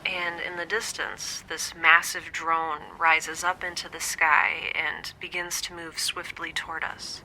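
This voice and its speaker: Lonely haunted young space scientist, audio drama log, slow and hesitant, very crisp bright voice drifting into whispers. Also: female voice, deep voice, little pitch movement, a little hiss or hum, full character voice, poor audio. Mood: pensive, uneasy. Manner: hesitant, confiding, drifting into breathy near-whispers. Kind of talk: scripted audio drama monologue, intimate dream-log recording.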